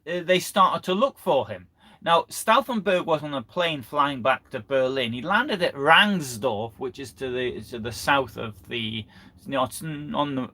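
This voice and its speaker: A man presenting history in an unscripted live lecture, halting and often restarting his phrases. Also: mid-range voice, sharp tone. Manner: halting, repeats and restarts phrases mid-sentence. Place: live online broadcast.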